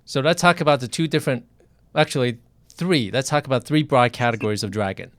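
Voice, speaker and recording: A man's voice: medium pitch, fast, a bit bright, dry recording. A man who is a physician, hosting an online interview and chatting candidly, with frequent restarts. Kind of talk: casual interview over video.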